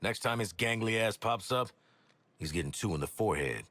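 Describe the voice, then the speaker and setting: A man's voice: lowish voice, animated, fairly quick, a bit bright, dry recording. A man playing a cocky, vengeful gunman in a video game cutscene, blunt and slangy.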